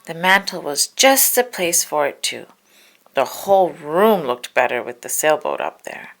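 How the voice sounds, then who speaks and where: Low-pitched, creaky woman reading a storybook. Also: expressive, a little slow. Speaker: storybook reader. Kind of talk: children's story read-aloud narration.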